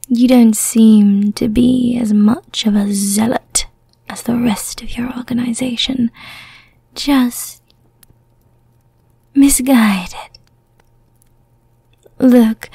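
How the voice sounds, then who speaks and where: A breathy, seductive female vampire, acted by a woman for an ASMR roleplay. She speaks slowly and softly, in a dark, whispery tone. She is teasing and menacing, with a merciful streak, and sounds very close and dry. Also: on the high side, no echo, pitched below the performer's own voice, full character voice. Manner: slow, teasing, deliberate.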